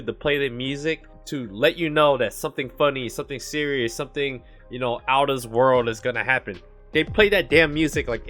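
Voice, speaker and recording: A man giving casual anime commentary, chatty and full of "like" and "you know", who calls his own voice monotone. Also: mid-range voice.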